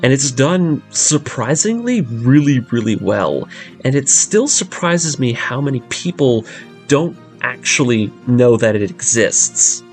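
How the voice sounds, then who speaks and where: A man's voice: mid-range voice, very animated, a little slow. A man hosting a story breakdown series, mixing scripted narration with casual, enthusiastic fan commentary. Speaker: host of a video series breaking down an anime audio drama. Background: music behind the voice.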